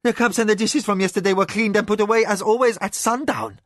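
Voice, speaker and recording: A man voicing a fearful male head cook who grovels before his master, pitched well above his own voice. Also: fairly even intonation, fast talker, no echo, natural voice. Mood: apologetic. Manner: pleading, apologetic.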